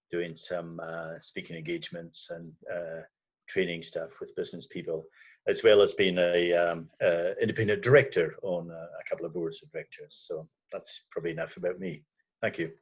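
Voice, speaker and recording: Older man, Southland New Zealand accent, lowish voice, wry, good-humoured, panelist. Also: steady tone, moderate pace, breathy edge. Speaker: panelist, company director. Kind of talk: self-introduction on a panel.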